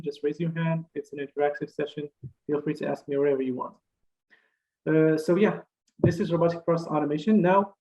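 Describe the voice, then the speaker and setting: A young man from Morocco gives a career fair talk over a video call, his voice a touch high. He halts often and restarts phrases. The call audio sounds slightly muffled and dull. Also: darkish tone. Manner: halting, many uh fillers and restarts. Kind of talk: online career talk presentation.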